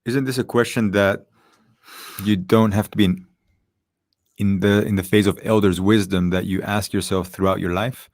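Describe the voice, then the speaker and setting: A man's voice: fairly low pitch, fairly even intonation, moderate pace, a little mellow, dry recording, clean studio sound. A man hosting a casual interview, asking his question hesitantly and rephrasing it as he goes. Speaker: podcast host.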